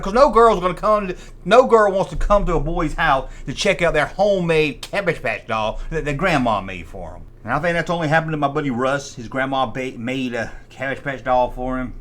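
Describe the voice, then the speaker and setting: A man's voice: a little high, fairly bright tone. A middle-aged American man giving casual, humorous commentary in a mocking, sarcastic, folksy way. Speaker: host of a toy review channel.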